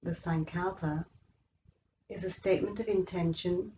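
A woman leading a guided yoga relaxation meditation in a slow, measured, soothing way with long pauses. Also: fairly low pitch, fairly even intonation, dark tone, lo-fi sound, a little hiss or hum. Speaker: yoga nidra instructor.

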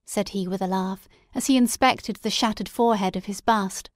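A woman narrates a literary audiobook. Her voice sits at a medium pitch and is recorded dry, with no room around it. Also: normal speed, no echo.

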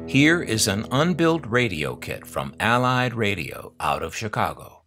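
A man's voice: lowish voice, sing-song, a little slow, bright, forceful tone, faint music behind. An older American man narrating an unboxing video with wry, dry humor and nostalgic asides. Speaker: host of a collector's unboxing channel.

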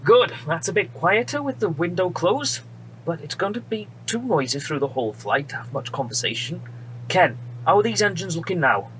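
An actor playing a friendly, assured wartime bomber pilot with a British accent, brisk and reassuring, in a radio drama. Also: male voice, high voice, animated. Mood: brisk, businesslike. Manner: brisk, reassuring, polite officer. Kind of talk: period radio drama acting. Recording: noisy aircraft engine background.

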